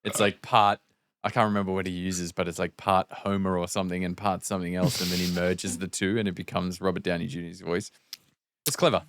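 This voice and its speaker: Lowish-voiced man in casual chat. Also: flat delivery, fairly quick, fairly bright tone. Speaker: podcast co-host.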